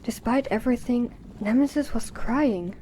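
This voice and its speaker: A woman's voice: a little high, moderate pace, mellow, a little hiss or hum. A woman narrator reading a scripted story for an audio drama.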